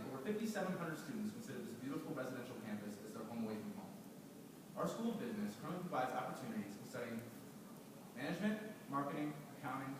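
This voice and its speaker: A young man from Rochester, New York, recites a rehearsed student presentation at a fast pace. He is captured from a distance in a reverberant auditorium with background noise. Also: medium pitch. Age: young adult. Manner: steady, recited delivery. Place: large auditorium. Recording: echoey hall, distant mic, noisy.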